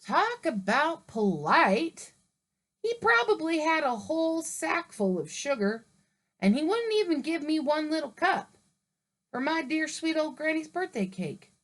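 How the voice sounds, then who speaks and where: Woman reading a self-pitying wolf who claims he was framed, a touch high-voiced. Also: very animated, a little slow, a bit bright, full character voice. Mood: indignant. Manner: indignant, mock-innocent storytelling. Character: wolf, male, grumbling sly self-pitying narrator.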